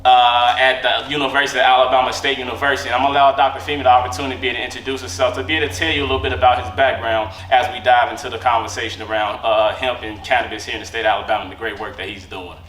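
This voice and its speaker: A man's voice: mid-range voice, little pitch movement, very fast, few pauses, a bit bright. A man hosting a podcast in an earnest, casual way, filling gaps with "you know" and "uh". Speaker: podcast host and farmer. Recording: echoey room, far from mic.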